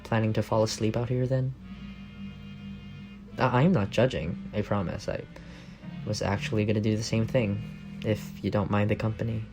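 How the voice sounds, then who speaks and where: A man's voice: fairly low pitch, fairly even intonation, normal speed, pauses often, over music, lightly acted. A young man playing an affectionate, teasing roommate with a secret crush in a casual, intimate ASMR roleplay. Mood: caring, slightly shy. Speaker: voice actor in audio roleplay.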